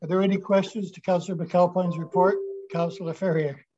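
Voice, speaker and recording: A man's voice: high-pitched, fairly even intonation, a little fast, noisy background. A man chairing a council meeting over a video call, calling on speakers and reading agenda items. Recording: dull lo-fi video call audio.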